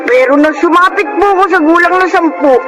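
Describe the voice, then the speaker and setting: A man performs an obedient young boy in a radio drama. The voice is extremely high, with a polite and earnest manner. Loud music runs under it. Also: brisk. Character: eager, obedient young boy dreaming of boxing. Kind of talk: radio drama acting and narration.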